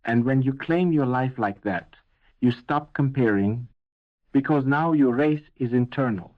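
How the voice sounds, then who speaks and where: A man's voice: medium pitch, unhurried. A man with a mid-range voice narrates a scripted motivational speech. He is intense and emphatic, landing short, punchy phrases one after another. The sound is narrow and lo-fi, as if through a phone. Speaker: motivational speaker.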